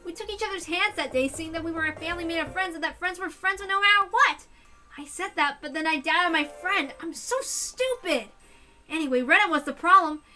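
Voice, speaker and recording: A woman voicing a teenage boy narrator who broods and then berates himself, her voice hoarse. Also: high voice. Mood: self-reproach. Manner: swings from musing to shouting at himself. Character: teenage boy narrator, jumpy and self-blaming.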